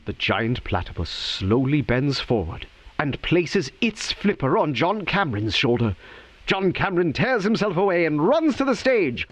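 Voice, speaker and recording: A man's voice: on the high side, sing-song, measured pace. A man playing a theatrical narrator in an audio drama, telling the story with suspense. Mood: hushed, dramatic.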